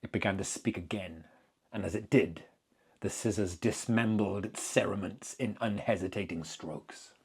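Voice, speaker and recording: A man giving a dramatic, deliberate reading of literary horror fiction as narrator. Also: fairly low pitch, a little mellow, slightly rough.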